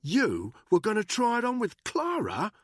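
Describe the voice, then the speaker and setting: A man's voice: lowish voice, expressive, normal speed, fairly bright tone, breathy edge, no echo, clean studio sound, heavily acted. A man voicing a hard, furious soldier who growls with contempt. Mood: menacing.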